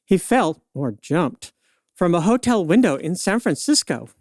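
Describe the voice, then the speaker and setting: A woman's voice: on the low side, very animated, unhurried, a little mellow, no room sound, clean studio sound. A woman playing a time-traveling news reporter in a radio play, speaking in a knowing, matter-of-fact, wry way.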